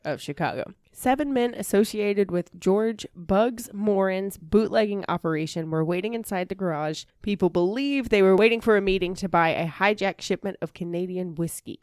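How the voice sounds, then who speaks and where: A woman's voice: mid-range voice, moderate pace, hardly any pauses, darkish tone, no room sound, clean studio sound. A woman podcast co-host casually telling a true-crime story to friends, with jokey, self-mocking banter.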